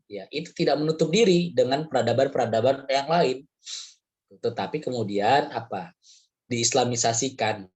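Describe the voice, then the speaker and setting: A man with a hoarse voice from a cold, giving a casual, rambling Islamic study talk with frequent filler words. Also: medium pitch. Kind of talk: casual religious lecture.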